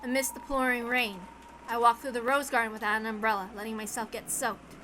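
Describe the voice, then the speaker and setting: A woman reading a dramatic story aloud as narrator, expressive, theatrical and deliberate. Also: fairly high pitch, a little hiss or hum. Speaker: reader of a visual novel. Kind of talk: dramatic scripted narration with acted dialogue. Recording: background music under the reading. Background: music.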